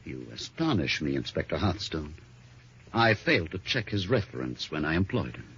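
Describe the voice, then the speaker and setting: A courteous, evasive gentleman under suspicion in an old radio drama. The voice is very low and heavily husky, polished in delivery. The sound is muffled. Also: very animated, normal speed, heavily acted. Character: suave, guarded gentleman, murdered woman's husband and suspect.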